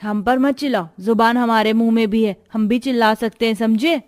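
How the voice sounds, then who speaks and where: Casting: woman as spoiled, insolent rich kid for a cartoon, very high voice over music. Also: fairly even intonation, normal speed, darkish tone, close to an everyday voice. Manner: bratty, insolent. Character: rude, spoiled rich child, defiant and snooty. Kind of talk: Hindi cartoon moral story voice acting. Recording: music behind the voice.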